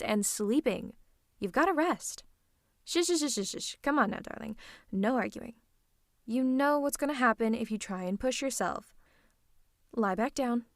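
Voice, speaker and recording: A woman's voice: on the high side, no room sound, studio quality. A woman playing a bossy, teasing villain who is secretly fond of her hero nemesis, in a dramatic ASMR roleplay. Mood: flustered, bossy. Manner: dramatic, teasing, mock-haughty, fussing.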